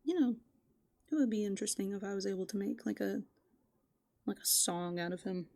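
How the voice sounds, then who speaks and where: A woman doing improvised ASMR roleplay, softly and playfully musing aloud, slipping into breathy whispers close to the microphone. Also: medium pitch, a little fast. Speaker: ASMR performer in a raccoon avatar persona.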